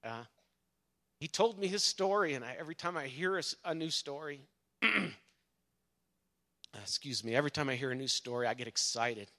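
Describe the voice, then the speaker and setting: Man, church introduction, halting, many fillers, average pitch. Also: bright, forceful tone. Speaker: church member introducing a guest. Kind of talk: church announcement. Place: church sanctuary.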